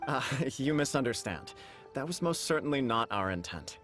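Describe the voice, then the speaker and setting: A courteous noble official. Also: male voice, medium pitch, big pitch swings, moderate pace, a bit bright, soft background music. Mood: formal. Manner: formal, polite.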